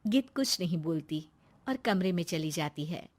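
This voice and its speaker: A woman's voice: on the low side, animated, moderate pace, a little mellow. A woman reading a scripted moral story as its narrator.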